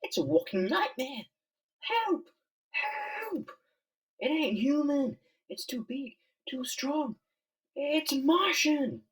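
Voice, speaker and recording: A man acts out a terrified bystander in a comic book drama. The pitch is very high and jumps about, the voice husky and a little away from the mic. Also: huge pitch swings, slow pace, a bit bright, far from the mic, exaggerated voice. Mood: panicked. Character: terrified bystander, shrill and panicking.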